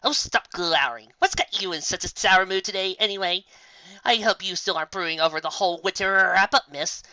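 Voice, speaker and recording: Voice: medium pitch, fairly quick, pushed and bright, dry recording, highs cut off, voice pushed up. A reader voicing a haughty, dismissive female unicorn stage magician in a fan story, with grandiose, faintly condescending delivery.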